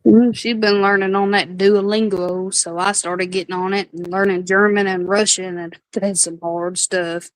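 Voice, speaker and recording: A woman's voice: mid-range voice, flat delivery, normal speed, hardly any pauses, no room sound, rough recording. A woman in her thirties chatting casually, frank and self-mocking, swearing now and then. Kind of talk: casual chat.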